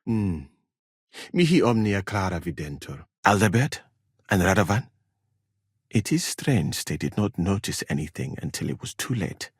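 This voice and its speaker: A man playing a courteous, learned foreign physician in a medieval video game, speaking in measured, formal phrases with calm thoughtfulness. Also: low voice, breathy edge, no echo, clean studio sound, full character voice. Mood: calm, thoughtful.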